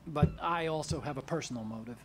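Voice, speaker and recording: An actor playing an earnest male engineer ally who explains things in a measured way. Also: on the high side, noisy background, close to an everyday voice.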